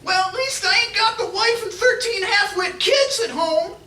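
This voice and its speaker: A woman's voice: very high voice, moderate pace, pushed and bright. A woman acting in a live radio play as a slangy, grumbling tough-guy adventurer sidekick, recorded on an echoey stage. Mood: sarcastic. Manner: slangy, ungrammatical, complaining. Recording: echoey live stage, distant mic, faint noise.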